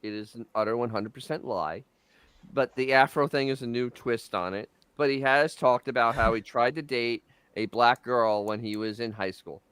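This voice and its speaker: A man's voice: mid-range voice, normal speed, fairly bright tone, clear, resonant tone, no room sound. A man co-hosting a podcast, emphatically debunking a story in casual commentary. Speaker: podcast co-host.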